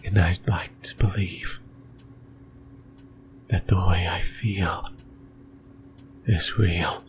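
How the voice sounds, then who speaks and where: A man voicing a lonely, possessive sleep paralysis demon in a creepy, intimate ASMR monologue, whispering breathily and haltingly close to the mic. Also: deep voice, steady tone, background noise. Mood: eerie, musing. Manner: slow, halting, rambling, intimate. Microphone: close microphone, whispering into the ear.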